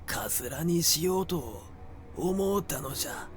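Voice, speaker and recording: A man voicing a wizened old woman in a literary reading, with a thin, croaking, gasping voice and a halting mumble. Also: a little high, expressive, a little hiss or hum, voice pushed up. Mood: gasping, defensive. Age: older. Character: wizened, ragged, muttering old woman stealing corpse hair.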